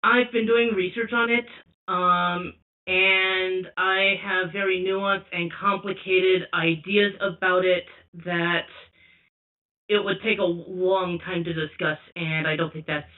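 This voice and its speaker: A young man with a high voice calling in anonymously, speaking slowly and hesitantly with many restarts. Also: steady tone. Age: young adult. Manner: slow, hesitant, restarts often. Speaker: caller. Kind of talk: anonymous call-in sharing. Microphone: phone call. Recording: tinny phone line from far off.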